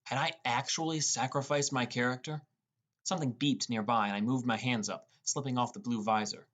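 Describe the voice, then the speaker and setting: A man's voice: mid-range voice, steady tone, brisk, a bit bright. A man reading a scripted first-person story as an audiobook narrator.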